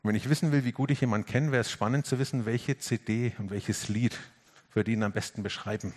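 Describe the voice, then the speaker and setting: A man preaches a reflective sermon in a somewhat low voice. He talks earnestly, climbing to emphatic, lifted phrases, then dropping almost to a hush on the heavier points. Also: steady tone, fast. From Franconia, Germany. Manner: earnest, swings between emphatic lifts and hushed, quiet passages.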